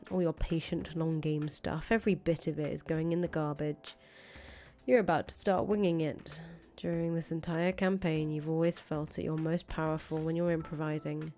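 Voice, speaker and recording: A woman reading a visual novel aloud as a streamer, narrating dramatically in a measured, deliberate way with an occasional stumble over a word. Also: low voice, warm tone.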